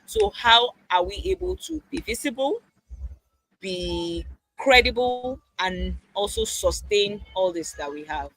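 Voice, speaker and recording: A man's voice: high-pitched, sing-song, slow pace, vocal fry, a bit bright, rough recording. A man hosting an online mentorship session, interviewing in a conversational way over a video call.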